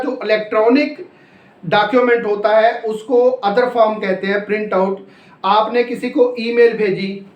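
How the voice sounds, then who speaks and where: A high-voiced man with a Pakistani accent teaches law in an echoey room. He goes slowly, repeating key phrases as if dictating notes, and poses questions that he then answers himself. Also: dull audio. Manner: repetitive, explanatory, asks and answers own questions; mixes in English legal terms. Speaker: law lecturer. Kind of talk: classroom lecture, explaining and dictating notes. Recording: echoey, roomy sound.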